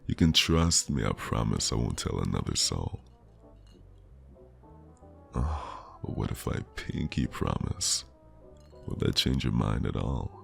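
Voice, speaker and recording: Very deep, gravelly flirty man, voice-acted. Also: big pitch swings, a little slow, long pauses, faint music behind, full character voice. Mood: teasing, flirty. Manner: smooth-talking, teasing, lingering delivery. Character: flirty charming male stranger at New Year's party. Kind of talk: scripted ASMR roleplay, intimate and teasing.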